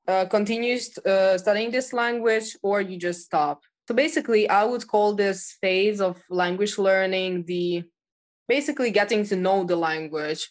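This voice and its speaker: An eighteen-year-old woman from Ukraine, a native Russian speaker, answering interview questions with many fillers and restarts. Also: fairly low pitch. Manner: quick, with frequent fillers and restarts. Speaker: podcast guest, polyglot.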